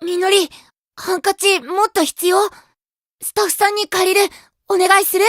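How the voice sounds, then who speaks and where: Casting: sunny boyish game idol, extremely high youthful voice, sweet, innocent, short simple phrases, dry studio-like sound. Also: male voice, steady tone, normal speed, bright, forceful tone, no room sound. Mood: innocently concerned. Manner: childlike, simple clipped phrases. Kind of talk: Japanese mobile game voice acting.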